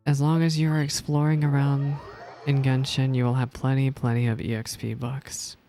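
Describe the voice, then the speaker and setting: A woman's voice: a bass voice, flat delivery, moderate pace, mellow, soft background music. A woman streaming her casual live reactions to a game, commenting as it plays.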